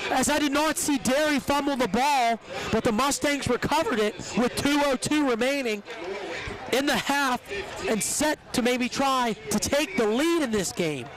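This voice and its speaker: A man calling a football game play by play, with a squeaky voice, a little under the weather, giving quick, energetic calls of the action. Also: poor audio. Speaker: play-by-play announcer. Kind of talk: live sports commentary.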